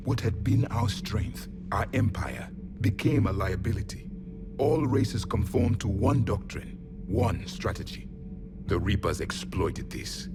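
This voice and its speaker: A man voicing an ancient alien warrior in a video game, cold, proud and grave, speaking in a measured, disdainful way. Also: lowish voice, expressive, loud music behind the voice. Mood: grim, severe. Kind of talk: video game character dialogue.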